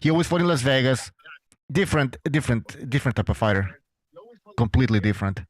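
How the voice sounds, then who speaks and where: A man's voice: mid-range voice, lively intonation, quick pace, no echo. Dismissive, profane male panelist, dry close mic. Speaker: podcast panelist. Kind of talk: heated casual boxing debate.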